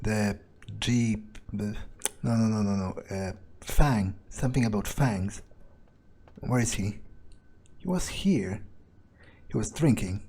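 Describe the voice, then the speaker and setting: A man with a husky voice improvising in-character commentary on a game, casual and sweary as he thinks aloud. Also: fairly low pitch, slow pace, mellow. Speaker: gamer playing in character.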